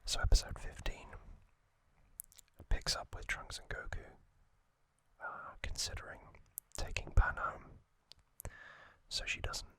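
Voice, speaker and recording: A man hosting an ASMR channel, whispering an informal, unhurried explainer in a hushed voice. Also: poor audio. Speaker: host of an ASMR trivia channel.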